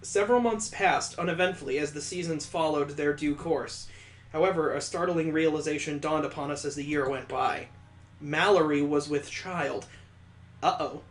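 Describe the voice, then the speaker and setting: Male let's play host, slightly high voice, theatrical read-aloud style with incredulous, wry asides. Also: moderate pace. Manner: incredulous, joking asides between earnest narration. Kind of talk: dramatic read-aloud with reactive commentary.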